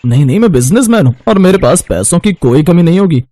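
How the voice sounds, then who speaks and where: Earnest young rich suitor, played by a man, devoted and reassuring, cartoon romance, fairly high, mellow voice. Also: sing-song, fast talker, no room sound, studio quality.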